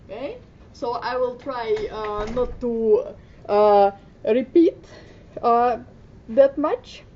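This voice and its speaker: A middle-aged woman from Finland giving a conference talk, speaking hesitantly with many fillers. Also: slow pace. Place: conference room. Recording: muffled conference audio with faint rumble.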